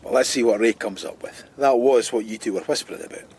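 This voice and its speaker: An actor playing a brooding, sardonic middle-aged police detective in a radio drama, with a husky voice. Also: male voice, medium pitch, fast, a little mellow, slight background noise, close to an everyday voice. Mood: pressing.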